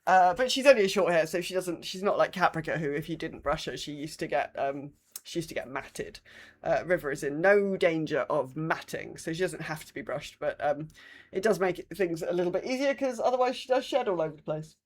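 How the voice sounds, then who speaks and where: A woman's voice: low-pitched, fairly quick. British woman chatting casually, wryly humorous. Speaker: podcast co-host. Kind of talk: casual chat.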